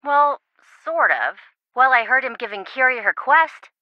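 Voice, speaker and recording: A woman voicing a quirky, sarcastic, excitable young reality-show contestant in a video game, with playful theatrical quips. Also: sits high, a little slow, dry recording, dull audio. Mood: wry, chatty.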